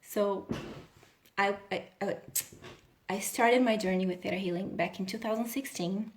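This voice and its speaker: A woman in her thirties from Brazil, a healing coach talking casually on a live video and laughing often. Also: medium pitch. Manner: chatty, laughs often, restarts sentences.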